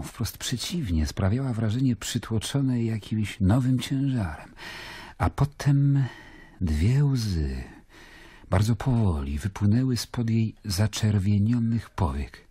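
Brooding, deep-voiced Roman governor. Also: male voice, warm tone, husky, no echo. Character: grave Roman governor, brooding man confiding in his brother. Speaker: actor and narrator of a radio play. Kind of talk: radio drama, epistolary first-person narration. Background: music.

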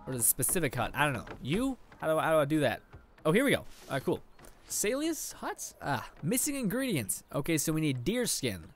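An eighteen-year-old man giving rambling, casual let's play commentary, his voice a little raspy after eating. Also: fairly high pitch, huge pitch swings, fairly quick, a little hiss or hum. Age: teens. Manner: rambling, chatty, lots of fillers. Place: indoor room.

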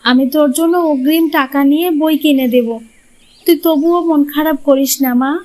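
Woman doing poor tired widow mom in a cartoon, high voice, plaintive, loving but doubtful. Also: fairly even intonation, moderate pace, a little mellow, close to an everyday voice. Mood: tender, reassuring. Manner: plaintive, tender. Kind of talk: Bengali moral-tale cartoon dubbing.

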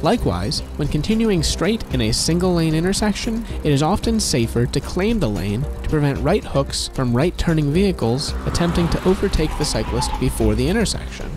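A man's voice: fairly high pitch, big pitch swings, a little fast, few pauses. Man narrating a lesson over music. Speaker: narrator of a safety video. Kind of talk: scripted instructional narration. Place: voice-over over animation with background music.

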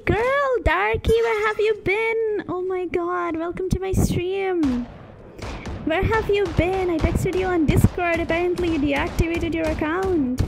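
A woman chatting casually on a game live stream, excitable and giggly, with drawn-out exclamations. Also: extremely high pitch, vocal fry, music in the background. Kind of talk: casual live stream chat while gaming.

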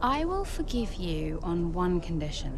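A woman's voice: fairly low pitch, big pitch swings, a little slow, noisy background. An actress playing a young, determined female racing driver in a video game, with a pointed, sardonic manner. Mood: teasing. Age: young adult. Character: defiant young racing driver, wounded but determined daughter. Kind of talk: cinematic video game acting.

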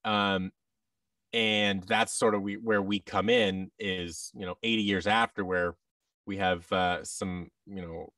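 A man's voice: low voice, slow, no room sound, recorded from a distance. A man in his thirties chatting casually as an interview guest, animatedly acting out exchanges as he talks. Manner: animated, acts out quoted exchanges. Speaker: podcast guest, audio drama creator. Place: indoor room, video call. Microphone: boom-arm microphone with headset.

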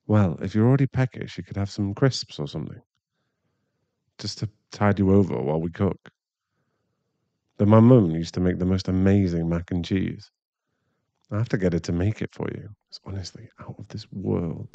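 Man acting a mellow British boyfriend. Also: deep voice, normal speed, gravelly, dry recording, lo-fi sound. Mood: casual, affectionate. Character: caring young boyfriend, chatty and easily flustered. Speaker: voice actor in an ASMR boyfriend roleplay. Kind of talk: intimate ASMR boyfriend roleplay acting.

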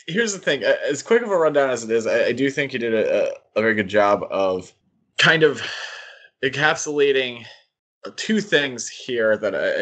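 A man co-hosting a podcast, giving casual commentary in a measured, analytical way. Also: medium pitch, a bit bright. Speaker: podcast co-host.

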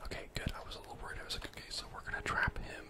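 Young guy whispering asmr game commentary, breathy n chatty, close mic, keyboard clicks. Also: racing. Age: young adult. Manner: casual, gently chatty. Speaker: gaming ASMR video host. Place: indoor room at home.

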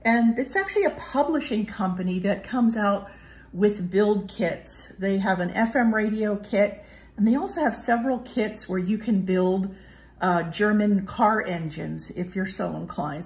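A woman giving a casual hands-on product review, talking in a measured, explanatory way. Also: medium pitch, expressive, a little mellow.

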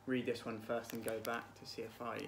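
A man's voice: medium pitch, little pitch movement, fairly quick. A man in his twenties chatting casually about books in a hesitant, thoughtful way, with trailing phrases. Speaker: book vlog guest, PhD student. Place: small room at home, indoors. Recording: clearly noisy home room recording.

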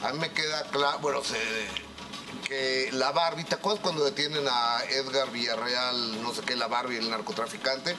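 A man on a television talk show tells his side assertively, in a steady mid-pitched voice. He builds his point with quick asides. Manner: assertive, storytelling. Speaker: TV host.

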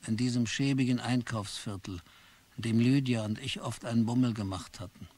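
An actor narrating as a weary clerk in a German radio play, with dry, wry storytelling. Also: male voice, mid-range voice, normal speed, darkish tone, close to an everyday voice. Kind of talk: scripted narration.

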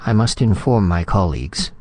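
A man playing an unflappable junior diplomat in a storybook reading, calm, terse and polite but firm. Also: lowish voice, moderate pace, no echo. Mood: smoothly polite. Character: cool, unflappable, curt male junior diplomat.

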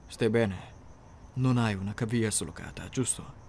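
Man playing low-voiced, courteous young anime heartthrob. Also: measured pace, creaky, darkish tone, slight background noise. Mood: relieved, concerned. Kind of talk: Italian ASMR roleplay acting to the listener.

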